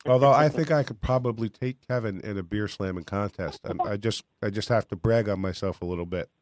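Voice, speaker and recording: A man from New York state hosting a live radio show, with a raspy voice and a cold, talking in a boastful, joking way. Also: lowish voice, a little fast, darkish tone, dry recording, clean studio sound. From New York state, USA. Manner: boisterous, boastful and jokey. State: has a cold. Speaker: radio host.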